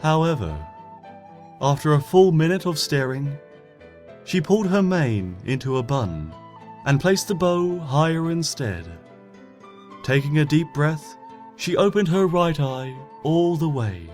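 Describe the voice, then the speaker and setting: Gentle, lively male storybook reader. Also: fairly high pitch, a little slow. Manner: lively, softly dropping to hush at sentence ends. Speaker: fanfiction reader. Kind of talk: expressive storybook narration. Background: soft background music.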